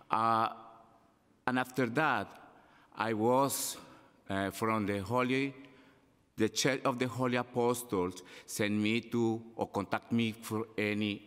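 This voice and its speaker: A middle-aged man from Colombia with a Spanish accent, giving halting, unscripted testimony at a council hearing. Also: fairly low pitch, fairly bright tone, smooth. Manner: halting, gesturing with his hands. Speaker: public testifier. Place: large city council chamber. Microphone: desk microphone. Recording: long hall reverb.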